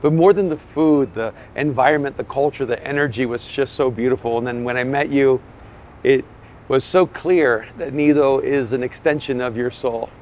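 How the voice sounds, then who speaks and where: A man's voice: mid-range voice, normal speed, darkish tone, a little hiss or hum. A man hosting an interview podcast outdoors on a city street, talking in an earnest, effusive way. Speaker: podcast host.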